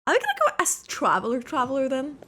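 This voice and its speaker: A woman streamer reacts casually to a game as she plays. Her voice is bright and fairly high, with informal live commentary. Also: huge pitch swings, quick pace, husky.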